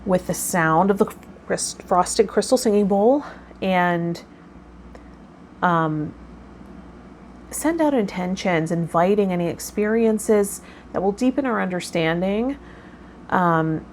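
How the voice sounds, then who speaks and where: A woman's voice: on the low side, moderate pace, a little mellow. A woman hosting a personal vlog, talking casually in a rambling, self-effacing way. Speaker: host of a tarot vlog. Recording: clear background noise.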